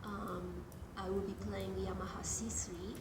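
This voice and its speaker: A woman with a Japanese accent, a guest jazz pianist, talking casually in an interview and pausing often on ums and uhs. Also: medium pitch, level tone, very noisy. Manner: hesitant, many ums and uhs. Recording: echoey room with music behind.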